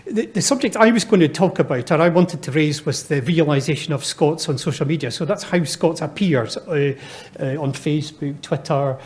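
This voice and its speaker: Man in his fifties, panel speaker, slightly high voice, chatty and good-humoured, lots of fillers. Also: a little mellow. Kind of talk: live panel discussion talk. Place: lecture hall before an audience.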